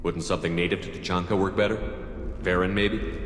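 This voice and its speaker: Man acting as military commander, low voice, quick delivery, direct, principled, video game hero, echoing space. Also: flat delivery, a little hiss or hum, lightly acted. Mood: inquiring. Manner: direct, questioning, matter-of-fact. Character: steady, principled human military commander, male. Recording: echoey hall reverb, music behind. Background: game music.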